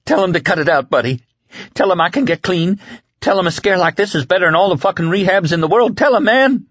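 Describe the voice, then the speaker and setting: A man's voice: high voice, animated, fast talker, fairly bright tone, no echo, lo-fi sound, voice pushed up. A man voicing a desperate young man who babbles and pleads in panic, in an audiobook. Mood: frantic pleading. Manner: babbling, frantic begging. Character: desperate young male drug user, pleading and screaming.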